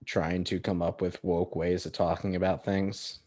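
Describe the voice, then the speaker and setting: Low-voiced young man, easygoing chat. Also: little pitch movement, moderate pace, darkish tone. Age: young adult. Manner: easygoing, self-deprecating. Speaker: podcast co-host. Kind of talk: casual chat.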